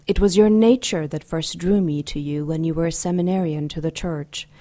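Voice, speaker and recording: A woman playing an ancient, seductive and coolly menacing demon goddess in an audio drama, silky, deliberate and mocking. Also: sits low, dark tone, lightly acted. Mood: scornful, commanding.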